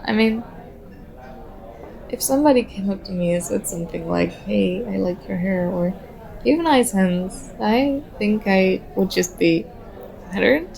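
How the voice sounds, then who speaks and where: A woman's voice: mid-range voice, gravelly, a little mellow, rough recording. A woman playing a very shy, nervous young stranger in an ASMR roleplay, talking hesitantly and self-consciously as she overthinks. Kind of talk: ASMR roleplay, soft conversational acting.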